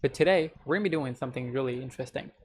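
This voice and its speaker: A young Indian man talks loosely and rambles, looping back to the same stock phrases. His voice sits at an everyday middle pitch. A faint hiss of noise runs under the recording. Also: rapid-fire. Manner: rambling, repeats "so the thing is". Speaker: YouTuber reacting to a video. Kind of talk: casual reaction commentary.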